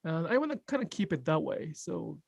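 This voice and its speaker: A man with a Japanese accent, a native Japanese speaker, talking about stitching in a casual group conversation, pausing to find words and often laughing. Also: fairly high pitch, very animated, gravelly, no echo, clean studio sound. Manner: thoughtful, pauses to search for English words, laughs often. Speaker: sashiko teacher.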